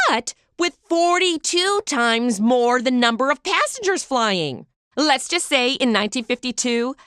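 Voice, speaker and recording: An American woman narrates a history segment as a podcast host. Her voice is fairly high and very lively, swinging freely in pitch. She tells it conversationally with a wry touch, in a dry, close recording space. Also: no room sound. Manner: conversational storyteller, wry asides, rhetorical questions. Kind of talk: scripted informal history narration.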